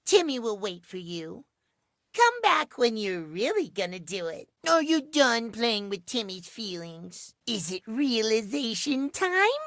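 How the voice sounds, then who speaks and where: Childish game clock boy, cutesy baby talk, high pitch, swooping intonation, playful, pouty, needy. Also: very animated, measured pace, fairly bright tone, no room sound, studio quality, heavily acted. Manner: cutesy baby talk, speaks of himself in third person. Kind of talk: animated video game character acting.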